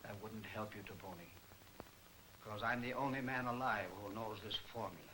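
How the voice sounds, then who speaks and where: An actor playing a controlled, menacing criminal mastermind in a 1940s movie serial. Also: male voice, fairly low pitch, normal speed, bright, forceful tone, rough recording. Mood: cold, controlled. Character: soft-spoken sinister criminal mastermind, man. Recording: hissy 1940s film soundtrack.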